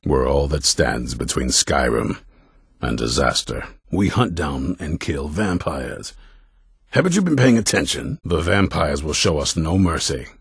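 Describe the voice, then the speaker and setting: An older man playing a grim, ruthless vampire hunter commander in a video game, stern and blunt, with a very deep, gravelly, raspy voice. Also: lively intonation, a little slow, no room sound, clean studio sound, heavily acted. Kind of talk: video game character dialogue.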